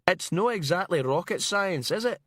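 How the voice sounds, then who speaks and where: Brash Glaswegian newspaperman, pitched high, broad accent, mouthy and combative, audiobook crime story. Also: expressive, normal speed, fairly bright tone, no echo, studio quality. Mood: scornful. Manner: sweary, indignant, mouthy. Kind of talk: audiobook character acting.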